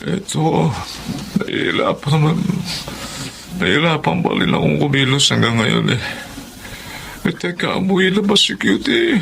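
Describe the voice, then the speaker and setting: Ailing, affectionate Filipino dad, highish gravelly voice, swooping emotional delivery, noisy TV drama sound. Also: male voice, expressive, fairly bright tone, heavily acted. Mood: weary, relieved. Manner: tender, emotional, halting. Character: affectionate, ailing middle-aged father working overseas. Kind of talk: Filipino television drama acting.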